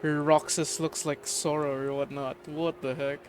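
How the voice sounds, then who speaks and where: A man's voice: a little high, flat delivery, moderate pace, slight background noise. A man casually commenting as he plays through a game story, with short reactive asides. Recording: game music loud behind the voice.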